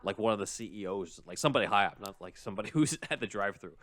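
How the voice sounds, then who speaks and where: A man's voice: on the low side, animated, rapid-fire, fairly bright tone. A man co-hosting a podcast, explaining things in an informal, conversational way as he summarizes a script. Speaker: podcast co-host.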